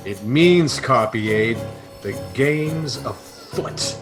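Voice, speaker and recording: A man playing an unflappable interstellar adventurer in a sci-fi satire, measured, polite and deadpan. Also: medium pitch, music in the background. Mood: dry, composed. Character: unflappable, wry male interstellar adventurer detective. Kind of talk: comic sci-fi audio drama acting. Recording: slightly noisy home recording.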